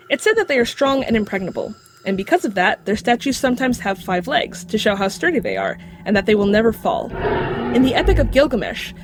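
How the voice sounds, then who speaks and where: A woman's voice: mid-range voice, a little hiss or hum, lightly acted. A woman voicing a knowledgeable magical creature in dog form, explaining things matter-of-factly with dry wit in an audio drama. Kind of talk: scripted audio drama acting.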